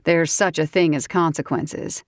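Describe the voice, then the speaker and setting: A woman's voice: fairly even intonation, measured pace, no echo, studio quality. A woman voicing a stern, protective man who speaks firmly and in a clipped way in an audiobook. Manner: firm, clipped, low-key. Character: stern, protective grown man, gruff and calm.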